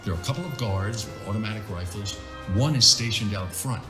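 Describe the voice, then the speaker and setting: A man's voice: lowish voice, a little fast, echoey room. A man narrating a dramatised comic script, setting scenes in the present tense. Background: music.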